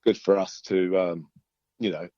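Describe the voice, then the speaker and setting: A man's voice: low voice, fairly even intonation, a little slow, mellow, no echo. An easygoing man chats casually as an interviewed band musician, rambling and often hesitating over his words. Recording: dull lo-fi call audio.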